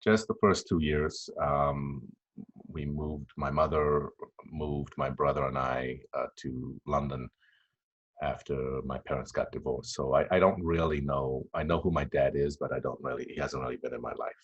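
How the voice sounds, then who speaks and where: A man in his fifties with an extremely deep, husky voice talks in a flat, even tone. He pauses often, restarting sentences as he reflects. The recording sounds dull and lo-fi. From Tanzania. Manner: halting and reflective, many fillers and restarts. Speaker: podcast guest. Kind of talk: casual interview chat.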